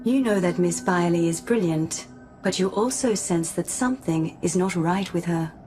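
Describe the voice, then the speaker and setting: A polite, mind-reading robot woman, performed by an actress for a radio play. Her voice sits on the low side and stays measured and composed. She words things formally and precisely, over soft music. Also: close to an everyday voice. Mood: analytical. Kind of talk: scripted radio drama acting. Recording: music playing underneath.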